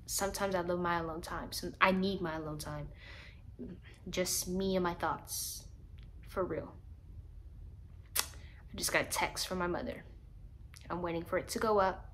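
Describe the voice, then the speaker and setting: A woman's voice: fairly low pitch, steady tone, measured pace, long pauses, a little hiss or hum. Chatty young woman rambling to camera. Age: 20s. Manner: chatty, rambling, laughs at herself. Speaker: vlogger. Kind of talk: casual chat to camera.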